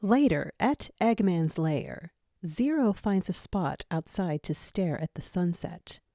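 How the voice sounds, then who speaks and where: Expressive woman narrating dramatic fiction. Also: lowish voice, takes it slowly, no echo, clean studio sound.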